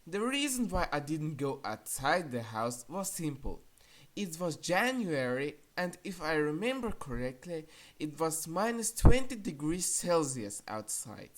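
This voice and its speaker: A woman's voice: sits low, big pitch swings, unhurried. A woman narrating an opinionated countdown review in a blunt, sarcastic and casually crude manner. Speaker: video reviewer. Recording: music behind the voice. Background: music.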